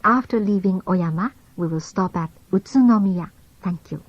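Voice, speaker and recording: A woman reading scripted onboard train announcements in a formal, measured way. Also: mid-range voice, no room sound. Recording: dull lo-fi old cassette tape.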